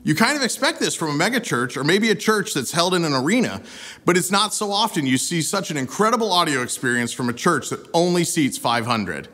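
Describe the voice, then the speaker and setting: Male host, thirties, high-pitched and animated, polished scripted read, reverberant sound. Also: fairly quick. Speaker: video host. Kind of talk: scripted presenter narration. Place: indoor set with wood-slat wall. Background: music bed.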